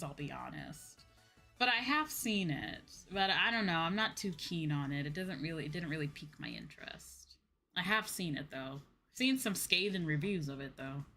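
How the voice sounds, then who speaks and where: A woman's voice: medium pitch, sharp tone. A woman streamer chatting casually to her livestream, with an exasperated, venting manner and some casual swearing. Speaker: VTuber streamer, host.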